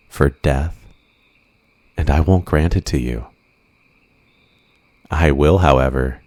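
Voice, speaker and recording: Male actor as possessive yandere villain, rock-bottom low pitch, unhurried delivery, intimate menace, coaxing, ASMR roleplay. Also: darkish tone, no room sound. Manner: slow, deliberate, intimate menace with coaxing endearments. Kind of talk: ASMR roleplay, scripted monologue.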